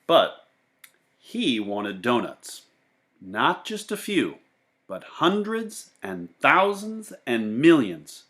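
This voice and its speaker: A man reading a picture book aloud in an expressive, deliberate way, with casual asides. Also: medium pitch. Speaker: children's book reader.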